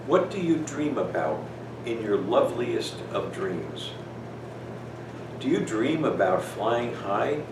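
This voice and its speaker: Slow-talking man reading to children, roomy sound. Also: lowish voice. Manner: gentle, deliberate phrasing addressed to children. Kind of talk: slow storybook reading with simple explanations for children. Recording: roomy, distant microphone, clear background noise.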